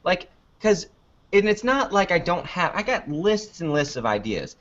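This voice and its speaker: A man's voice: sits high, big pitch swings, a little slow, a bit bright, lo-fi sound. A man being interviewed about the videos he makes, chatting casually in a rambling, jokey, self-deprecating way. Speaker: interview guest, online video maker. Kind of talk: casual unscripted interview chat.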